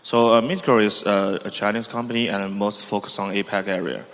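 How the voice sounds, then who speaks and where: Low flat-voiced man in a hall. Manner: non-native English, halting with fillers. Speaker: panelist, company CEO. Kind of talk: conference panel talk. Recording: long reverb of a conference hall.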